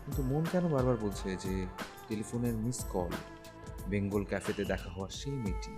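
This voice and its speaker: An actor with a Bangladeshi accent playing a hesitant, romantic young man in a TV drama, speaking haltingly and musingly. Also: fairly low pitch, natural voice. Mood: wistful musing. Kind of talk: naturalistic TV drama acting. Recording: music behind the voice. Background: music.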